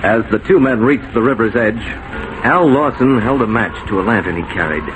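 An American man narrating and announcing a 1940s radio drama in a measured, formal manner. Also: on the low side, lively intonation, music in the background. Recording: noisy vintage 1940s radio recording.

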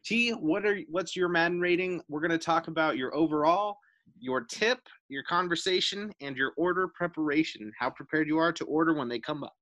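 Podcast host dude joking about himself, quick bursts, super dry close sound. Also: male voice, a little high, a bit bright, no echo, poor audio. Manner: awkward, self-mocking, talks fast in bursts. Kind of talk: casual chat.

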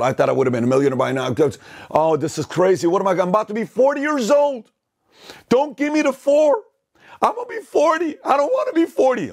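A man's voice: on the low side, breathy edge. A man hosting a motivational video, telling stories to the camera and acting out worried voices. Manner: animated storytelling, acts out worried voices.

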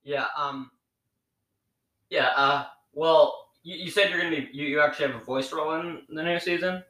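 A man's voice: on the high side, moderate pace, pushed and bright. A man hosting a podcast interview, asking questions hesitantly with fillers. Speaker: podcast host. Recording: echoey room.

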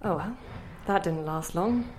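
A woman voices an old woman's meddling inner voice in an audio drama. It is a low voice, wry and conspiratorial, grumbling with sardonic scorn. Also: unhurried, darkish tone, slight background noise, close to an everyday voice. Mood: wry, resigned. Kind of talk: audio play acting.

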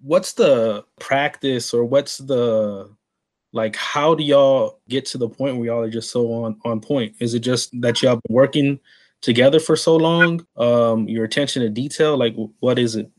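A man's voice: mid-range voice, measured pace. A man from Texas with an American accent, interviewing someone in a casual, conversational style. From San Antonio, Texas, USA.

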